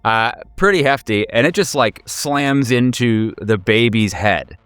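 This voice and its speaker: A man's voice: mid-range voice, measured pace, fairly bright tone, smooth, no echo. A man game mastering a horror tabletop game in casual, improvised narration, joking and swearing freely. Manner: profane, joking.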